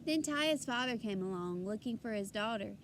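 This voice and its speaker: A woman's voice: mid-range voice, animated, normal speed, a little hiss or hum. A woman reading a children's story aloud expressively and emphatically, like a teacher addressing her young listeners.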